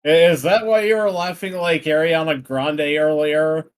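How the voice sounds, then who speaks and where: A man's voice: on the high side, fairly even intonation, measured pace, smooth. A young man on a casual stream, drawling and giggling as he chats with his friends. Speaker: podcast co-host. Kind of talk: casual gaming stream chat.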